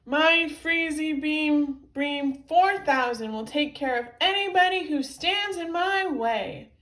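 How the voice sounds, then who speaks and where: A woman voicing a pompous, furious male professor villain in a children's audiobook, declaiming loudly and indignantly. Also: high voice, takes it slowly, pitched above the performer's own voice, rough recording. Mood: menacing, gloating.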